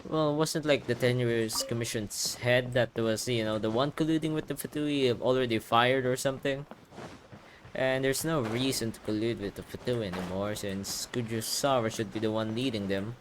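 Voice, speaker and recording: A man's voice: mid-range voice, moderate pace, slight background noise, low-quality recording. A man giving casual, sarcastic and profane commentary as he records himself playing a video game. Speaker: gamer recording a playthrough. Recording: game music behind the voice. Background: video game music.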